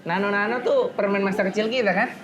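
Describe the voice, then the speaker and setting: A man co-hosts a casual podcast with a slightly high voice. He keeps affirming his partner and chats with enthusiasm. The audio is somewhat dull and lo-fi. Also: quick pace, fairly bright tone, soft background music. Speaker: podcast co-host. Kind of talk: casual chat.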